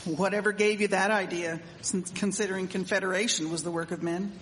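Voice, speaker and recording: A woman's voice: mid-range voice, steady tone, fairly quick, close to an everyday voice. A woman playing a prim, exclamatory townswoman of the 1870s in a scripted period radio drama. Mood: matter-of-fact. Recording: echoey hall, faint background noise.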